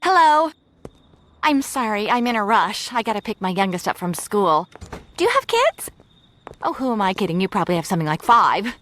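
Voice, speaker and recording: A woman's voice: mid-range voice, very animated, normal speed, fairly bright tone. An actress playing a prim, snobbish suburban mother in a video game, chirpy, fussy and condescending. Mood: flustered, condescending.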